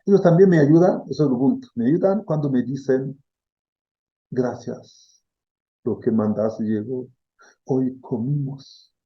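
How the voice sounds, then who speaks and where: A man's voice: medium pitch, a little slow, pauses often, breathy edge, lo-fi sound. A middle-aged man from the Democratic Republic of the Congo, a philosophy professor explaining ideas thoughtfully, often checking in with "¿sí?". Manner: thoughtful, repeats key words, frequent "¿sí?" check-ins. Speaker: philosophy professor, interview guest. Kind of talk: reflective explanation.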